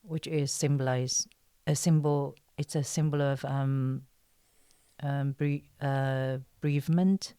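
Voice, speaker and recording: A woman from China with a Chinese accent, chatting in an interview and often pausing to search for words. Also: rumbling low, steady tone, warm tone, smooth, no echo, clean studio sound. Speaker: interview guest, actress and writer. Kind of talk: casual interview chat.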